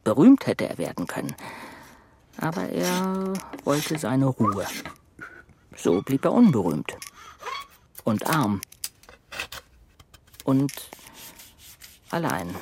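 An actor narrating a children's radio play as a wry, childlike woodworm, telling the tale expressively. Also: male voice, medium pitch, noisy background, heavily acted. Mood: storytelling. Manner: unhurried, expressive storytelling.

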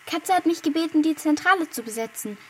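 High-voiced earnest young girl. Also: lively intonation, brisk, a bit bright, a little hiss or hum. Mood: eager. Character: earnest, unsure, plucky young girl heroine. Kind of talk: children's radio play acting.

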